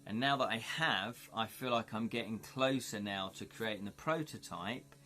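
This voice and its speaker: A man's voice: lowish voice, normal speed, pushed and bright. A man hosting a live stream, chatting casually and thinking aloud as he rambles with lots of "you know". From United Kingdom. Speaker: livestream host. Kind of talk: casual chat with viewers.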